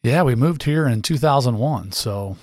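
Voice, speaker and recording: A man's voice: mid-range voice, animated, normal speed, darkish tone, no echo, clean studio sound. A man in his fifties from Central Iowa, a guest on a local radio show, answering in an easygoing, agreeable way. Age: 50s. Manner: easygoing, short agreeable replies. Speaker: interview guest, insurance agent. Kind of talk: casual interview chat. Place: small radio studio.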